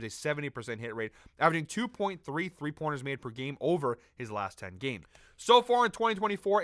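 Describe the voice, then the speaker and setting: Young male betting host with a fading voice, brisk and number-heavy, slightly high-pitched, close-miked in a dead room. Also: pushed and bright, no room sound. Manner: brisk, number-heavy delivery with fillers and self-corrections. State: sick and losing his voice. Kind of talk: fast spoken sports betting breakdown.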